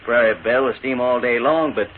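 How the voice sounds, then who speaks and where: An actor playing a suave, courteous gentleman gambler with a reassuring manner in a 1940s radio western. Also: medium pitch, fairly quick, lightly acted. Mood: charming. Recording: tinny, hissy 1940s radio recording.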